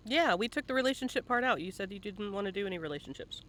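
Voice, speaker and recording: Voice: mid-range voice, expressive, quick pace, a bit bright. A host chatting casually on a livestream, with a playful, teasing, sweary manner. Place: indoors at a computer setup, live online stream.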